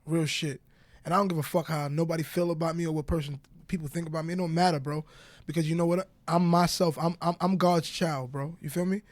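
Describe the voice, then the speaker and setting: Stuttering Southern US man, high voice, dry studio podcast sound. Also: fairly even intonation, fast talker, no room sound. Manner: stutters, repeats phrases like "bro" and "real shit". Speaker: guest rapper. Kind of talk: candid interview talk.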